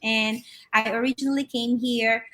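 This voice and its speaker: Middle-aged woman from the Philippines, bright higher voice, video-call guest from a home office, chatty with restarts. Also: steady tone, moderate pace. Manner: warm storytelling with frequent fillers and restarts. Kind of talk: live online interview.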